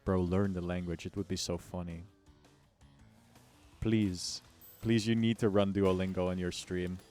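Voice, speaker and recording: A man with an American accent streams a game in a lowish voice at an unhurried, slow pace. He talks casually and jokingly, full of slang and mock complaints. Music plays faintly behind him. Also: steady tone, a little mellow. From United States. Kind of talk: casual livestream chat while gaming.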